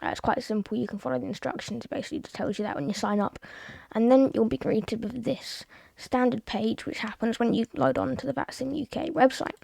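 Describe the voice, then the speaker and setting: A woman's voice: a little high, steady tone, a little fast, vocal fry, hardly any pauses, a little mellow, no room sound. A woman from the UK with a British accent, presenting a casual tutorial in a plain, step-by-step way. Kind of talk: casual screen-recorded tutorial narration.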